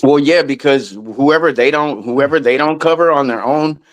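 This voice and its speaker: A man's voice: medium pitch, normal speed. A man in a casual interview, talking in a loose, rambling way with lots of restarts and some swearing. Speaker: guest musician.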